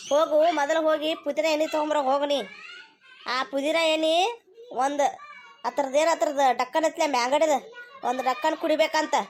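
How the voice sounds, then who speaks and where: A woman's voice: high voice, flat delivery, a little fast, long pauses, slight background noise, natural voice. A woman voicing a bossy, stubborn, greedy mother-in-law in a cartoon comedy, scolding insistently with a North Karnataka accent.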